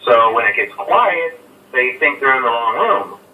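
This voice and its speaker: A man's voice: mid-range voice, expressive, a little slow, a little hiss or hum, lightly acted. An actor playing a chatty, nervous male company phone messenger in a horror game, rambling with lots of "uh" fillers and awkward chuckles. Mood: casual reassuring. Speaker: phone guy giving night guard instructions. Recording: tinny phone line, echoey and distant.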